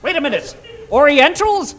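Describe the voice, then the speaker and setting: A woman's voice: high-pitched, big pitch swings, moderate pace. A woman playing a fussy, easily flustered professor in a melodramatic old-time radio parody. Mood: puzzled, deducing.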